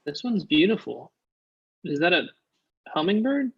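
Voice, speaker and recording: Reluctant male friend, thirties, actor in a comedy read, mid-pitched, awkward and halting, slightly muffled recording. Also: very animated, vocal fry, husky, exaggerated voice. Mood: awkwardly friendly. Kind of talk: comedy pilot table read. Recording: muffled, dull online call audio.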